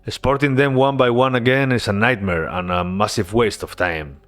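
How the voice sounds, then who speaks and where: A man's voice: lowish voice, moderate pace. Man narrating a devlog. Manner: earnest, relaxed storytelling with casual asides. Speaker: indie game developer.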